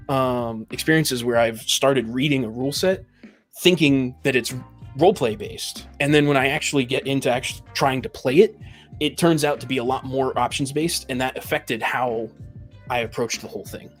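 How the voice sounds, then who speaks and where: A man with a mid-pitched voice speaks in a casual, analytical podcast discussion. He explains his points step by step and concedes where he might fall short. Light music plays underneath. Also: moderate pace. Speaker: podcast panelist. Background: background music.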